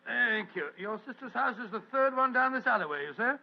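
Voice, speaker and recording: An actor voicing a cheerful, bumbling doctor in a 1940s radio play, jolly and a little flustered. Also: male voice, very high voice, expressive, fairly quick, gravelly, heavily acted. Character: jovial, bumbling older male doctor, cheerful and kindly. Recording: dull lo-fi old radio recording.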